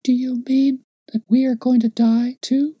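A man voicing an innocent, solemn five-year-old girl with a high childish voice. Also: level tone, unhurried, dark tone, breathy edge, dry recording, clean studio sound, full character voice. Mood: earnest. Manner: high childish voice, earnest and plain.

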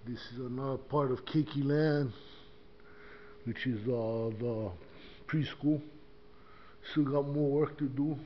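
A man's voice: medium pitch, steady tone, slow, long pauses. Older man, casual rambling walkthrough, body-cam, muffled audio with faint noise. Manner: rambling, many fillers and restarts. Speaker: church custodian vlogger. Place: inside a small church building. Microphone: body-worn action camera.

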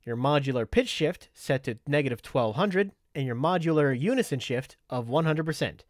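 Male tutorial presenter. Methodical, step-by-step instruction, mid-pitched, recorded dry and close. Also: no room sound. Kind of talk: step-by-step software tutorial narration.

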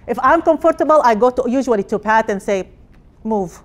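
A middle-aged woman from Palestine, a hospital director, giving an energetic personal talk in a conference room with phrasing. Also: fairly high pitch, a little fast. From Jerusalem, Palestine. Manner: energetic, gesturing while speaking, non-native phrasing. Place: conference room with projected slides.

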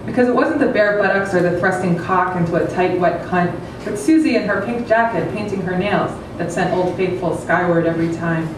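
Female literary reader, dramatic narration, heard from afar with clear background noise and heavy echo. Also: lowish voice, fairly quick, hardly any pauses. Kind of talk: dramatic reading of a comic novel. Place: stage with curtains before an audience. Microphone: microphone on a table stand. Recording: very echoey hall, distant, clearly noisy.

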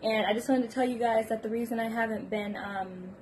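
A woman's voice: a little high, fairly even intonation, a little fast, a little mellow, slight background noise. A young woman vlogging casually from her bedroom, talking into a phone held at arm's length, rambling with fillers and giggling. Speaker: book vlogger. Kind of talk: casual vlog chat. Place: small bedroom.

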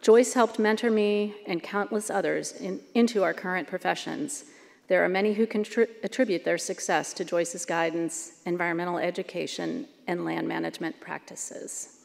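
A middle-aged woman presents an award, steadily reading from prepared notes at a podium. Her voice is low and gravelly, trailing off into the long reverb of a big hall. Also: fairly even intonation, moderate pace. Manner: reads prepared text steadily. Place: large banquet hall stage. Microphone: podium microphone.

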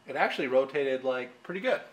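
A man's voice: mid-range voice, lively intonation, a little fast, a bit bright, poor audio. A man in his thirties casually explaining engine timing while working in a workshop, with lots of fillers. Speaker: engine shop technician. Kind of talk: hands-on how-to demonstration. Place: engine workshop with pegboard wall, indoors.

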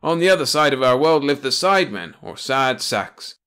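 A man's voice: medium pitch, big pitch swings, normal speed, a bit bright, clear, resonant tone, voice pushed up. A man voicing a folksy, hard-of-hearing old man in a sci-fi audiobook, telling things in a drawling, homespun way. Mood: hushed explaining. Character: folksy, deaf old man, tobacco-chewing alien sage.

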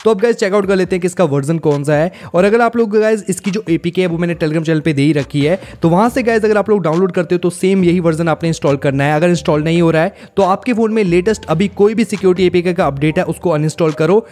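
Young indian guy doing a phone tech review, talks really fast, kinda high voice. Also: darkish tone. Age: 20s. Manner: repetitive, addresses viewers as guys. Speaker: tech YouTuber, host. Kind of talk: tech review narration to camera.

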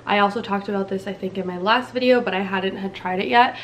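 A woman's voice: medium pitch, fairly even intonation, fast, slight background noise. A woman in her twenties from Canada, chatting casually to camera in a bubbly, slangy way in a small dim room. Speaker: beauty vlogger.

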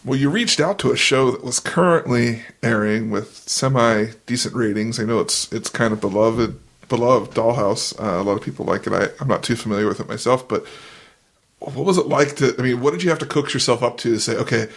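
A man's voice: medium pitch, fairly quick, gravelly, slightly rough. Chatty man asking loose interview questions. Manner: chatty, laughing, searching for words.

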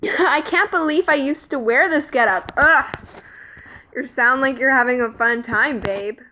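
A woman voicing flustered, lovestruck young male cartoon space pilots in an amateur comic dub, with an emotional, halting delivery. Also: sits high, pushed and bright, slight background noise, low-quality recording. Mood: exasperated. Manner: stammering, emotional.

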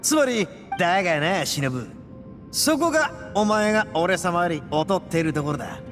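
An actor playing a boastful young gang boss who talks loudly with rough slang and a gravelly voice. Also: male voice, high-pitched, big pitch swings, normal speed, long reverb tail. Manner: loud, swaggering, coarse slang. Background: game music.

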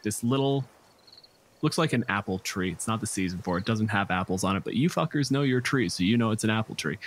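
A man narrating a tabletop role-playing game as game master in a conversational way, with dryly humorous asides. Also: on the low side. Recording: clear background noise. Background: night forest ambience with crickets and insects.

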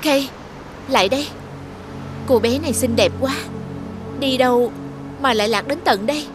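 Woman as a composed, highish-voiced snow enchantress. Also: moderate pace, music in the background, lightly acted. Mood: concerned. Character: composed, caring snow-cold enchantress with motherly authority. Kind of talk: animated fairy-tale dubbing.

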